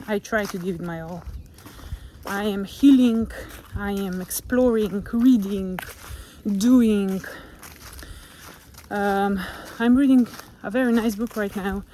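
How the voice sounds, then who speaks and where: A woman with a Slovenian accent, speaking English as a second language in a gentle, reflective motivational vlog while walking outdoors, sometimes searching for words. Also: medium pitch, takes it slowly, slight background noise. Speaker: vlogger. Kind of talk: reflective motivational monologue to camera. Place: outdoors on a nature walk. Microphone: camera held while walking.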